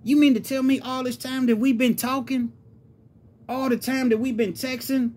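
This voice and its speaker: A man's voice: mid-range voice, animated, quick pace, darkish tone, husky. A man playing a hot-tempered, wounded man who got catfished, protesting in repetitive, indignant street talk. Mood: furious, incredulous.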